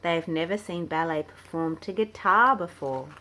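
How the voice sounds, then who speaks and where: A woman reading a children's storybook aloud in an animated, expressive and playful way. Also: on the low side, normal speed, a little hiss or hum, poor audio. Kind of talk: read-aloud children's storybook narration with acted dialogue.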